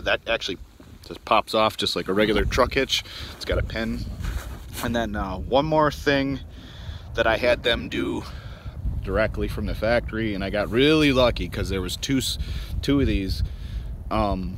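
A man's voice: lowish voice, a bit bright, slight background noise. A man in his thirties from Wisconsin, talking casually to viewers from a phone held at arm's length outdoors on snow, rambling with many ums and restarts. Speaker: outdoors channel host. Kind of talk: casual vlog walkaround. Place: outdoors on snowy frozen lake.

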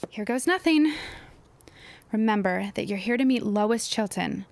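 A woman's voice: mid-range voice, expressive, unhurried, vocal fry, darkish tone, a little hiss or hum. A woman playing a plucky, nervous, scheming teenage girl with a mannered delivery in a period audio drama. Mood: self-coaxing. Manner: mannered, self-coaxing.

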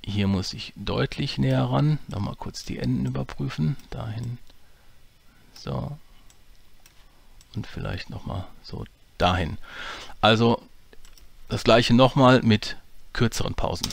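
A man's voice: medium pitch, a little slow, gravelly, pauses often, slightly rough, no room sound. Casting: man, dry close voice, casual tutorial explainer with fillers. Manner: relaxed, explanatory, many fillers. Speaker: audio drama producer teaching editing. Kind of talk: screen-recorded software tutorial.

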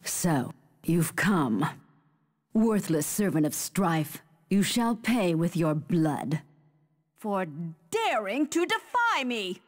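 A woman's voice: medium pitch, very animated, takes it slowly, in a large hall. An actress playing a fierce female fighter who taunts opponents in haughty, theatrical battle lines for a video game. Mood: proud, declamatory. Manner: haughty, theatrical, clipped declarations.

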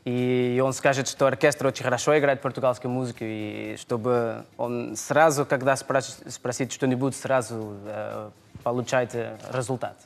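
Portuguese-accented man, halting speech. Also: mid-range voice, steady tone, vocal fry, faint music behind. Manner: halting, broken grammar, cheerful. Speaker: guest musician. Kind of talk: interview answers in a second language. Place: television studio, indoors.

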